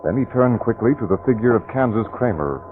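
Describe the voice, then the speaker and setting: A man narrating and announcing in dramatic 1940s radio style, booming and theatrical. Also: lively intonation, fast talker, soft background music. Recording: tinny noisy old radio broadcast.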